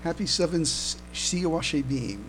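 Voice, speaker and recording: A slightly high-voiced man co-hosts a casual fan podcast. He talks slowly and haltingly, doubling back on his words. There is a light layer of noise on the recording. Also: expressive, a bit bright, poor audio. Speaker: podcast co-host.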